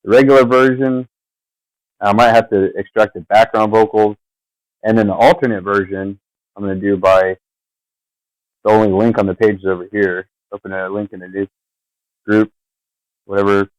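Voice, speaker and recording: A man with a fairly low voice rambles in a loose, meandering way, trailing off and filling pauses with fillers. The sound is dry and close, as if he's sitting at a home computer mic. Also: little pitch movement, no echo, poor audio. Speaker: vlogger. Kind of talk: rambling casual chat.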